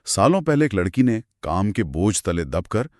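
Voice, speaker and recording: A man's voice: fairly low pitch, sing-song, moderate pace, darkish tone, dry recording, studio quality. A man hosting a conversational horror storytelling show, narrating in dramatic, short suspenseful phrases. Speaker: host narrating horror stories.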